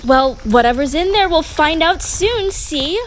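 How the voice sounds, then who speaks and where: A woman's voice: high-pitched, animated, measured pace, slight background noise. A woman playing a reluctant, sceptical teenage girl in a horror audio play. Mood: annoyed, sceptical. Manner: complaining, skeptical.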